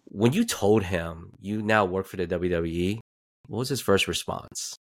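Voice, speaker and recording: A man hosting a podcast interview, fumbling into his questions and filling in with quick "yeah"s and "mm-hmm"s. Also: deep voice. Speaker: podcast host. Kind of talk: casual interview.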